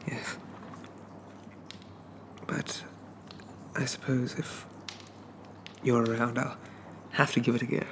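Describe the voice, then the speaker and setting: A man playing a shy, polite, lovestruck Victorian zombie gentleman, speaking softly and haltingly with flowery apologies in an ASMR roleplay. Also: mid-range voice, gravelly, background noise. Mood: bashful, musing. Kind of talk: ASMR roleplay, soft-spoken character monologue.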